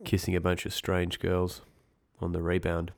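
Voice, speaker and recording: Low-voiced stuffy-nosed man hosting a podcast. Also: monotone, moderate pace, vocal fry. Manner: rambling, self-correcting, wry asides. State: congested with a cold. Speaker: podcast host. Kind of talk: casual esports news podcast.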